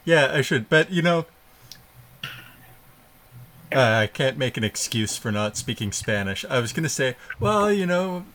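A man's voice: mid-range voice, lively intonation, a little slow, long pauses, fairly bright tone. A man hosting a live show, chatting casually and poking fun at himself as he welcomes guests. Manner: chatty and self-deprecating, with laughs and filler. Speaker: podcast host.

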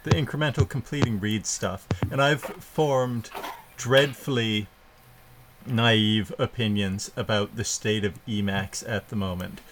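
Chatty, self-mocking man hosting, lowish voice. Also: steady tone, measured pace, a bit bright, a little hiss or hum. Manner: chatty and self-deprecating, with laughs and filler. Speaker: podcast host. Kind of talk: casual live show chat.

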